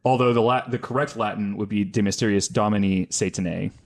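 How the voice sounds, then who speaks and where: A man's voice: fairly low pitch, fairly quick. A man hosting a podcast, telling a story casually from notes with wry asides and self-corrections.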